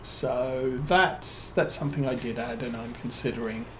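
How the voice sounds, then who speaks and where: A man with a British accent giving relaxed let's-play commentary as a streamer, musing and thinking aloud with plenty of fillers. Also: mid-range voice, normal speed, a little hiss or hum. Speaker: game streamer.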